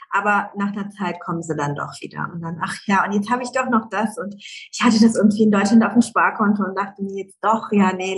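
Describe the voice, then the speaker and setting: Brief: middle-aged woman, interview guest, chatty, filler-heavy, quick to laugh. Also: medium pitch, low-quality recording. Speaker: guest entrepreneur. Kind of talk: casual interview talk.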